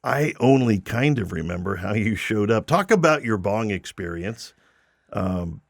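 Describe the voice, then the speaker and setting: Gravelly low-voiced man hosting a podcast. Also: animated, unhurried, no echo, studio quality. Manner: joking, teasing, playful asides. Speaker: podcast host. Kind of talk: casual interview chat.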